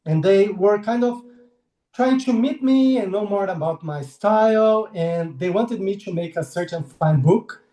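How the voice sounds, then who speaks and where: A man's voice: high-pitched, a little slow, warm tone, echoey room. A man in his forties from Brazil with a Brazilian Portuguese accent, speaking as a guest illustrator on a video call, friendly and hesitant, laughing often. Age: 40s. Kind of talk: casual interview over video call.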